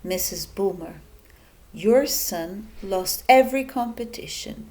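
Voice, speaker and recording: A woman's voice: mid-range voice, lively intonation, slow, warm tone. A woman voicing a smug, needling male sports announcer in a children's picture book read-aloud, pointed and taunting. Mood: smug, prying.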